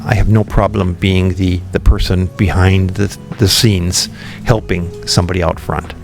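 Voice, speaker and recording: A man guesting on a podcast, a company founder who explains his ideas in a thoughtful, relaxed way, with frequent ums and restarts. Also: sits low, moderate pace, creaky, music in the background. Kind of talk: relaxed interview conversation.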